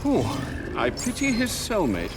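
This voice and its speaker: An actor playing an older, loyal British butler in a video game, formal and sardonic with a fretful streak. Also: male voice, high voice, wildly swooping pitch, unhurried, background noise, exaggerated voice. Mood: dry, sardonic. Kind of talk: video game cutscene acting.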